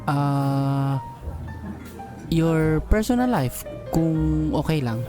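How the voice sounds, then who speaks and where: A Filipino company boss voiced by a man speaks over clear background music. He is reserved and businesslike, yet awkwardly flirtatious, as the male lead of a romantic audio drama. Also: a little high, lively intonation, extremely slow. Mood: casual, probing. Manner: businesslike, with smitten slips he covers up. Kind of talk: romantic audio drama acting, Tagalog-English mix.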